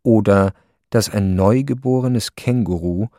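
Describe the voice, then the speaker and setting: A man narrating a radio play as a detached, precise storyteller, matter-of-fact and deadpan. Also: on the low side, unhurried, dry recording, studio quality, natural voice.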